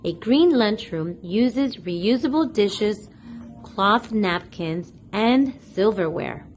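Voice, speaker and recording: A woman hosts a children's read-aloud with a lively, swooping delivery. She takes her time over the words and speaks to young listeners directly. There is faint noise behind her voice. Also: mid-range voice. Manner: deliberate, addresses young listeners directly with questions. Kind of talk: children's picture book read-aloud, storytelling to kids.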